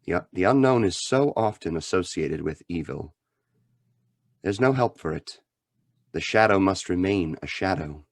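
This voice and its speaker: An actor playing a grave, composed mystery crime-fighter in a radio drama, speaking in a measured, formal way. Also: male voice, sits low, animated, no echo, studio quality. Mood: brooding. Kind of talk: old-time radio drama acting.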